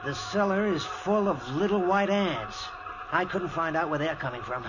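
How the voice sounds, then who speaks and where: An actor playing a blunt, matter-of-fact helper in a 1940s radio drama. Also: male voice, on the high side, normal speed, close to an everyday voice. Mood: tense. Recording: old radio recording with clear hiss.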